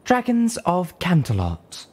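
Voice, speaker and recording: A grand unicorn mare from a fantasy storybook, acted out by a man, speaking higher and projected. The delivery is lofty and courtly, with honeyed, theatrical politeness. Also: huge pitch swings, takes it slowly, darkish tone, breathy edge, exaggerated voice. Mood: grand, pleading.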